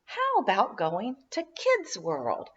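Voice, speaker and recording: A woman reads as a caring, worried dad in a children's story. The lines are urgent and exclaiming, with big rises and falls in pitch. The sound is a little lo-fi. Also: wildly swooping pitch, slow, a bit bright, husky, full character voice. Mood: cheerful suggestion.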